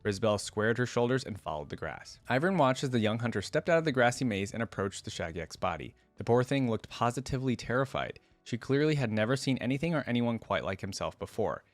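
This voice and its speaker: A man's voice: mid-range voice, big pitch swings, fast, few pauses, no room sound, soft background music. A man hosting a reaction video, reading a story aloud with casual commentary.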